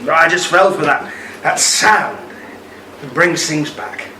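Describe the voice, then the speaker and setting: A man's voice: on the high side, lively intonation, slow pace, sharp tone, echoey room, far from the mic, a little hiss or hum, full character voice, rough recording. A man acting a teasing elderly husband in a radio play, with dry, bantering humor. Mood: wry. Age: older. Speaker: actor in audio play.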